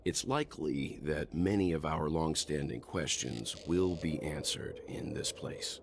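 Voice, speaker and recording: A composed, analytical man in a video game. His voice is extremely deep, his phrasing measured and formal. Faint background ambience sits behind him. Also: expressive, background noise. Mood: analytical, serious. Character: composed, analytical, serious man, calmly authoritative. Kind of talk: video game dialogue acting.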